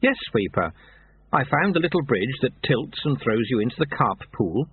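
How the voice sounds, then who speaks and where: A man's voice: mid-range voice, sing-song, moderate pace, husky. A man voicing an anxious, hasty young male novice monk who speaks in a nervous, eager way. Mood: earnest. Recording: tinny phone-like sound.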